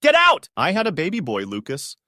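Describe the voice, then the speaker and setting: Man voicing an anxious, defiant mother, higher pitched. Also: sing-song, brisk, a bit bright, no room sound, clean studio sound. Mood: upset.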